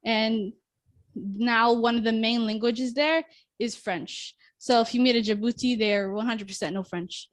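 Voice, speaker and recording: A woman's voice: fairly high pitch, steady tone, a little slow, no echo. Young woman giving a hesitant class talk. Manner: hesitant, restarts and fillers. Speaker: student presenter. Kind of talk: class presentation with questions.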